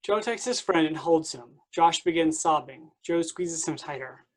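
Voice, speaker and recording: A man narrates a play script, reading out its stage directions. His voice is pitched somewhat high for a man, and the delivery is that of scripted narration. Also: normal speed.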